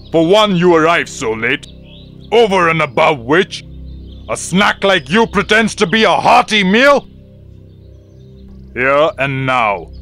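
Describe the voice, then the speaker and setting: An imperious cartoon lion tyrant, speaking slowly in a forceful, sharp voice. He is pompous and threatening, a furious ruler of the jungle, with music behind him. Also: on the high side, full character voice. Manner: pompous, menacing, growling threats. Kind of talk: animated cartoon acting.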